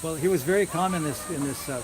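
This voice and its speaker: Man reminiscing haltingly over cricket noise. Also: on the high side. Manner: halting, repeats words as he recollects. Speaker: religious lecturer. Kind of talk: informal reminiscing lecture. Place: housewarming gathering, small live audience. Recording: noisy outdoor-like recording with insects. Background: loud hiss and chirping crickets.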